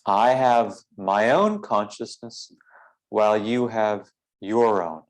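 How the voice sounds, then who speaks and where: A man leading a philosophical guided meditation in a measured, deliberate way, with formal phrasing and frequent pauses. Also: on the low side, expressive, rough recording.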